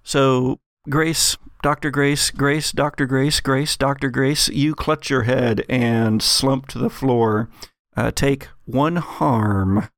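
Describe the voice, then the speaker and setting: Creaky-voiced man narrating dramatically. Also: medium pitch, steady tone, slow, dry recording, studio quality. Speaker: game master. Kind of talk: dramatic scripted narration and game narration.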